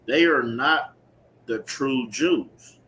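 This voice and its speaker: A man's voice: medium pitch, drawn-out words, bright, forceful tone. A man preaching and explaining scripture emphatically, repeating phrases and checking in with his listeners. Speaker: preacher leading a scripture teaching. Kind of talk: street-preaching style sermon with call-and-response reading. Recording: clearly noisy recording with ambient background sound.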